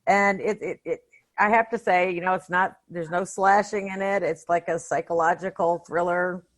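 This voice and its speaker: Woman, lowish pitch, podcast co-host, casual film chat, blunt, colloquial. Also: steady tone, moderate pace.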